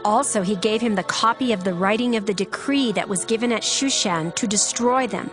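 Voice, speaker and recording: A woman giving solemn, measured dramatic narration of scripture, with music behind her. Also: medium pitch. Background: background music.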